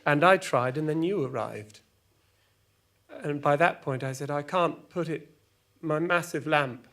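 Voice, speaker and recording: Slow, halting, wistful storyteller. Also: male voice, fairly high pitch, a bit bright. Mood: rueful amusement. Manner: hesitant, halting. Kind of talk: monologue in audio drama.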